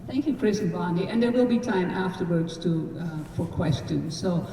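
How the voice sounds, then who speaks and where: A woman with a mellow voice introduces speakers at a district event, loose and joking. The sound is distant and roomy, with faint noise behind her. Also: on the low side, normal speed. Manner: casual and joking. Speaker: incoming district governor and emcee. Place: large event room. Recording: roomy hall from far off.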